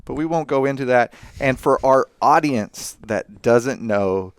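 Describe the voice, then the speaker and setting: A man hosting a casual podcast chat, relaxed and friendly, introducing his guests. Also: medium pitch, unhurried, clean tone. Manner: relaxed, friendly, says y'all. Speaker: podcast host. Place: dark studio set with leather chairs.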